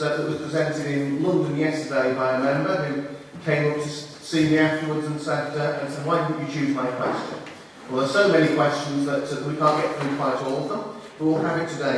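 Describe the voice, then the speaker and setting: A man's voice: on the high side, a little hiss or hum. A man chairing a public hustings, reading out questions steadily with frequent uhs. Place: public meeting hall. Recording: echoey hall from far off.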